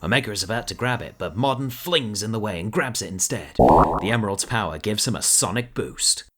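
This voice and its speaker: A man's voice: fairly low pitch, lively intonation, a little fast, fairly bright tone. A man reading scripted, dramatic narration as the narrator of an audio drama.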